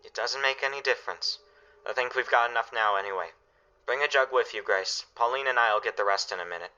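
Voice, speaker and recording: A man's voice: mid-range voice, brisk, pushed and bright, clean tone. An actor playing a commanding father in a radio drama, firm and reassuring as he directs his family in a crisis. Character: steady, commanding family father preparing for disaster. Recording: dull, band-limited recording.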